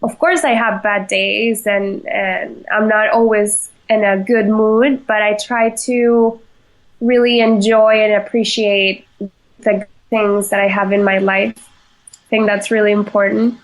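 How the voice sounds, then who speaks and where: A young woman from Venezuela, a chef answering interview questions in a halting way, with many fillers and restarts. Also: medium pitch, little pitch movement, poor audio. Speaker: chef, podcast guest.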